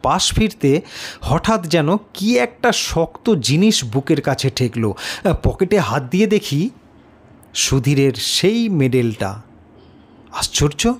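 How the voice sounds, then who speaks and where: A man narrating a horror story in the first person, with an expressive, deliberate and suspenseful delivery. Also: fairly high pitch.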